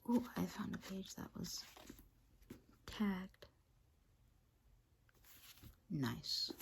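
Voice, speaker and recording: A woman playing a menacing spider demon in an ASMR roleplay, whispering breathily in a rambling, deadpan, casually cruel way close to the mic. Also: lowish voice. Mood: chatty, menacing. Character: menacing female spider demon toying with her human prey. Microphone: close ASMR microphone. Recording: hissy close recording with clicks.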